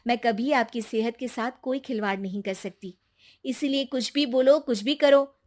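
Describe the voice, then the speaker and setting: High-pitched, sarcastic young daughter-in-law, played by a woman. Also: close to an everyday voice. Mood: firm, affectionate. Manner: sarcastic, back-talking, expressive. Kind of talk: acted Hindi family story cartoon.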